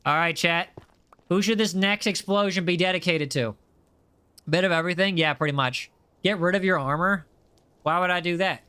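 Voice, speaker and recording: A man's voice: sits high, moderate pace, sharp tone, smooth, no room sound. A young American man with a general American accent, chatting casually and upbeat while playing a game on a livestream and reading chat aloud. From USA. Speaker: streamer.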